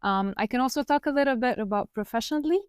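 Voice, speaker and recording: Smooth-voiced woman explaining her career. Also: a little high, no room sound, clean studio sound. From Lebanon. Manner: explanatory, measured, with fillers. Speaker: guest researcher. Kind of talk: podcast interview.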